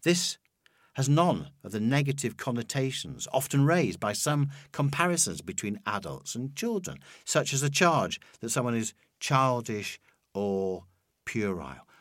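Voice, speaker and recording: A man reading a scripted literary essay in a measured, articulate, lecturing manner. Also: medium pitch, big pitch swings, a bit bright, dry recording, studio quality. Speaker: essay reader and narrator. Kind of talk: scripted literary narration with poetry quotations.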